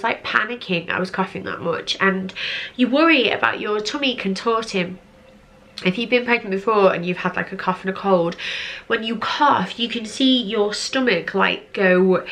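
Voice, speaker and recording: A young woman in a casual vlog, hoarse and congested from a cold, chatting with lots of fillers and drawn-out repeated words. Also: medium pitch, a bit bright. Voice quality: croaky. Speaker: vlogger. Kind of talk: casual pregnancy update vlog.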